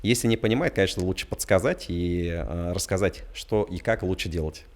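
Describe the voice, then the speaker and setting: A man giving a spontaneous interview answer as an expert judge, with hesitant pauses. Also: low-pitched. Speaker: competition judge, entrepreneur.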